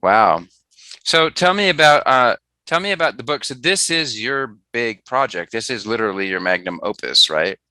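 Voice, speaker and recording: A man's voice: medium pitch, animated, normal speed, pushed and bright, no room sound. A man hosting a casual interview, asking rambling, chatty questions with many restarts.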